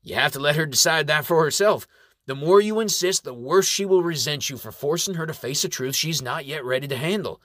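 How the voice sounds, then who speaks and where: A man reading a storybook voices a cheerful, wise old male reverend giving gentle advice. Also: medium pitch, dry recording, clean studio sound, voice pushed up, lightly acted. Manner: gentle, advising.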